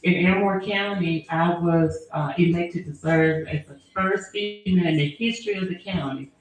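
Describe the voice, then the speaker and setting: A woman attorney, answering interview questions in an earnest, measured way with frequent fillers. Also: fairly low pitch. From Alabama, US. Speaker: attorney and former prosecutor. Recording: very echoey room.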